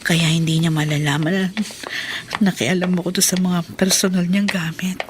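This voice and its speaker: A woman's voice: fairly low pitch, flat delivery, normal speed, lightly acted. A woman with a Filipino accent playing a troubled, curious housewife in a radio drama, sighing and thinking aloud. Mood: musing, curious. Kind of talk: Filipino radio drama acting, musing monologue. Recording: noisy radio drama track.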